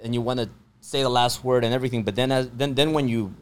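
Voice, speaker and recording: A man interviewing a guest speaks quickly in a middle pitch. He stumbles into his questions, repeating words and restarting before he lands on what he wants to ask. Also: steady tone, smooth.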